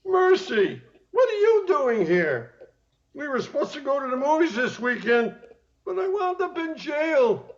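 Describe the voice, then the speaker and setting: A hapless, edgy fellow acted by a man in a noir radio comedy, with a very high voice that swings up and down. The audio is dull and muffled. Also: big pitch swings, unhurried, full character voice. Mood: bewildered. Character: jittery man constantly on edge, hapless suspect.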